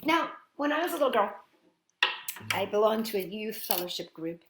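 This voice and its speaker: A woman hosting storytime, reading a picture book aloud to young children in an animated, playful way with chatty asides. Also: mid-range voice, moderate pace, roomy sound, heavy background noise.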